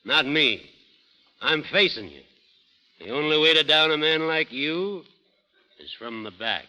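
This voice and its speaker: An actor playing a sly, taunting Western outlaw gambler with clipped, needling delivery in 1930s radio style. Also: male voice, on the high side, lively intonation, measured pace, bright, forceful tone, exaggerated voice. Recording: old muffled radio recording.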